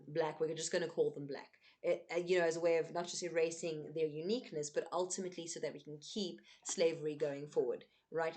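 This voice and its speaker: Earnest South African woman, slightly low. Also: darkish tone. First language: not English. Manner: earnest, emphatic, with frequent "um" and "right?". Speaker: vlogger. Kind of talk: unscripted commentary to camera.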